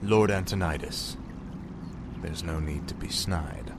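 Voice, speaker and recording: An actor playing a fallen prince turned death knight in a video game, brooding, blunt and arrogant. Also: male voice, sits low, takes it slowly, gravelly, background noise, heavily acted. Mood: defiant. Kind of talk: scripted video game cutscene acting. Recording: game music behind the voice.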